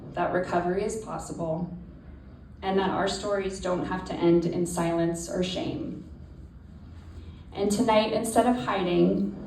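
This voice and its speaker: A woman speaking as an author, giving an earnest, personal prepared speech to an audience in measured phrases. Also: fairly low pitch, gravelly, darkish tone. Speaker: author speaking at a literary event. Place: indoor stage before a live audience. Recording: distant echoey room, faint background noise.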